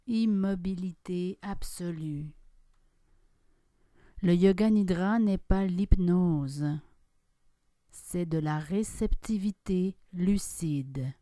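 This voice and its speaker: Very slow, mellow woman guiding meditation. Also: on the low side, no echo. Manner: calm, soft instructions with long pauses. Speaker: yoga nidra guide. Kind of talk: guided relaxation meditation.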